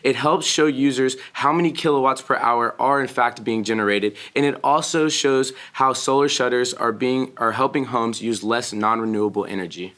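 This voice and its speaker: A man's voice: mid-range voice, normal speed, hardly any pauses, a bit bright. A young man from Texas reading a prepared presentation carefully, now and then restarting a word. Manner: careful reading with small self-corrections. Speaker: student presenter.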